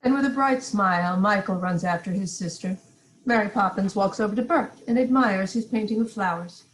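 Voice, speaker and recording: A woman's voice: mid-range voice, moderate pace, rough recording. A woman reading stage directions as narrator in an amateur script read-through.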